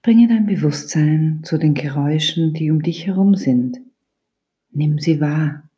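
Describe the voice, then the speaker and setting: A woman guides a meditation in a low, dark, relaxed voice. She speaks very slowly and deliberately, leaving pauses between instructions. Her delivery is soft and breathy, close to a whisper, calm and soothing throughout. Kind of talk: guided yoga nidra meditation.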